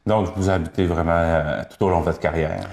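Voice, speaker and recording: Tv host guy, lowish voice, polite and a bit halting, echoey room. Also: steady tone, creaky, a little mellow. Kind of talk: local TV interview. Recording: roomy echo.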